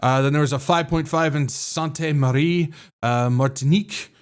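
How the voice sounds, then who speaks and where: A man's voice: a little high, normal speed, smooth. A man with an American accent hosting a podcast, sounding a little nasal from drainage, talking casually with lots of fillers and self-corrections. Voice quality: slightly nasal. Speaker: podcast host. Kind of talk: casual livestream talk.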